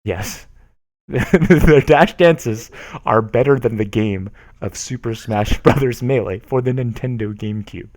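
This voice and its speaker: A man's voice: medium pitch, a little slow, a little mellow, dry recording, clean studio sound. A young man reading online posts aloud to viewers, with amused, chuckling asides in between. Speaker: streamer reading forum posts.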